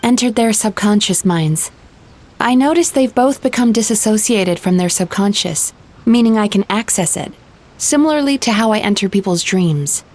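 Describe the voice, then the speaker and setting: A woman voicing a composed, gentle young dream therapist who explains things calmly in an anime-style video game. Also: mid-range voice, moderate pace, natural voice. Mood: serious, explanatory.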